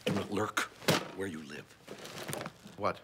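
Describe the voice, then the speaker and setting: A man's voice: low voice, slow. An actor in his fifties playing a grouchy, exasperated older male boss. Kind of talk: TV drama acting. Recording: loud noise behind dialogue.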